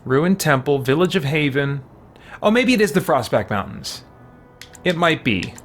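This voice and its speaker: A man's voice: fairly high pitch, very animated, moderate pace, faint music behind. A man hosting a gaming video with casual commentary, thinking aloud as he talks to himself.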